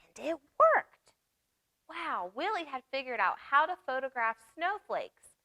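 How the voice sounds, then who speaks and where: A woman reads a children's story aloud in a high, bright voice. She takes her time and speaks expressively, often addressing young listeners with simple questions and explanations. The sound is dry and close. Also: very animated, unhurried, no echo. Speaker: children's library storytime reader.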